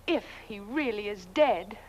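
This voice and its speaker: An actress playing an anxious, scheming aristocratic wife in a horror comedy film, theatrical and pleading. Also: female voice, mid-range voice, animated, a little slow, a little mellow, slight background noise, natural voice, poor audio. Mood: frightened, pleading.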